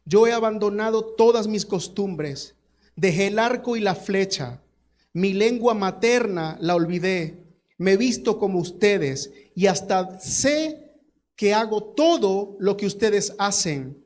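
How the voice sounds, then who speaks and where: A man's voice: high-pitched, expressive, a little slow, darkish tone, voice pushed up. A man voicing a desperate foreign man who pleads and laments at a palace gate, in a sermon story. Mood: pleading.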